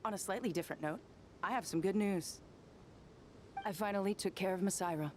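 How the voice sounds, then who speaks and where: A woman's voice: medium pitch, a little fast, slight background noise, lightly acted. A woman playing a confident, wry young desert adventurer in a video game, with a breezy, casual manner. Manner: breezy, casual, self-assured. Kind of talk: video game character acting.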